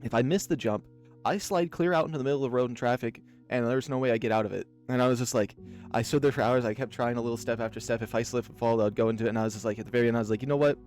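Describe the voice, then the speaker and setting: A man's voice: medium pitch, steady tone, racing, a little mellow, soft background music. A man from Oregon hosting a let's play, telling rambling anecdotes in a casual way, full of fillers. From Oregon, USA. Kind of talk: casual storytelling while playing a visual novel.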